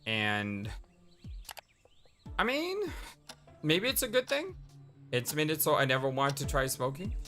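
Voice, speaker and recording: A woman's voice: low-pitched, sing-song, unhurried, long pauses, fairly bright tone, soft background music. A woman hosting a let's play, chatting casually and reading game dialogue aloud between personal asides. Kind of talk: casual gameplay commentary.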